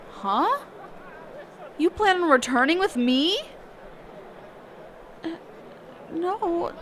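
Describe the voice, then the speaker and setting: A woman's voice: fairly high pitch, slight background noise. A woman playing a kind, reclusive magic teacher in an intimate roleplay audio, speaking hesitantly, flustered and trailing off. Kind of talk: intimate character roleplay audio, one-sided conversation.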